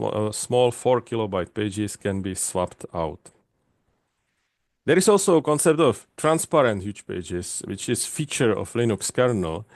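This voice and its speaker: Low-voiced Czech man presenting. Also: dry recording. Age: middle-aged. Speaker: conference speaker, database specialist. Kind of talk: technical presentation. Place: indoors, online video call.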